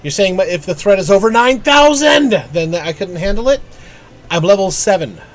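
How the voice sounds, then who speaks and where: Higher-pitched man streaming a game. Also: moderate pace, a little mellow. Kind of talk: casual live gameplay commentary. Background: faint music.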